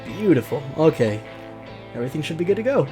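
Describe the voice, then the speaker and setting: A woman with an exceptionally low voice hosts a casual let's-play. She moves between playful asides and narrating a visual novel out loud. Guitar music plays clearly behind her. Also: very animated, quick pace. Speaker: host of a gaming show. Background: guitar game music.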